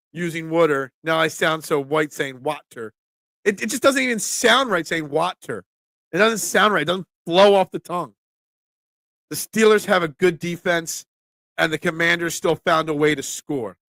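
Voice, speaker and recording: A man's voice: fairly high pitch, a bit bright, no room sound. A young man with a Philadelphia accent hosting a casual sports talk stream, chatting loosely and answering viewers by name. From Philadelphia area. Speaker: sports talk show host.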